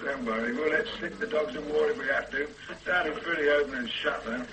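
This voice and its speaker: A man's voice: a little high, brisk, noisy background, close to an everyday voice. A man playing a dry, sardonic police sergeant. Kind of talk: radio drama acting.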